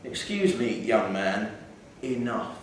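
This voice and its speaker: A man voicing a calm, authoritative would-be police inspector, quiet, clipped and polite, in a live story reading. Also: on the low side, takes it slowly, a little hiss or hum, voice pushed down. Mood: calm, firm. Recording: echoey theatre hall, distant mic.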